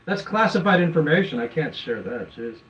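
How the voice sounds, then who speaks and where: A man's voice: on the high side, expressive, brisk, a bit bright, far from the mic, lo-fi sound. Rambling man on a casual livestream. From USA. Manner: rambling, filler-heavy, reads messages aloud. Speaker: livestream host reading chat. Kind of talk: casual live chat.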